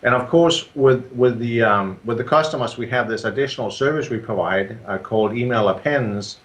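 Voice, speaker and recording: A man with a Danish accent, a software creator answering interview questions in a steady, explaining way with frequent ums and restarts. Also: mid-range voice, normal speed. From Denmark.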